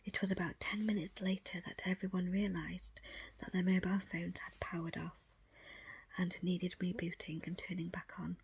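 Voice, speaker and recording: Breathy whispering woman reading a book. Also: on the low side, little pitch movement. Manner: hushed, deliberate phrasing in short pieces. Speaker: audiobook reader.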